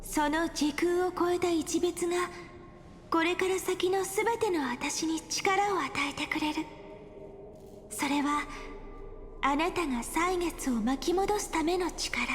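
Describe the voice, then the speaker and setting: A woman playing a gentle, resolute and wistful young heroine in an anime game. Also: extremely high pitch, normal speed. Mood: solemn, resolute. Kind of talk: Japanese anime game voice acting. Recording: long reverb, music under the voice. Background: game music.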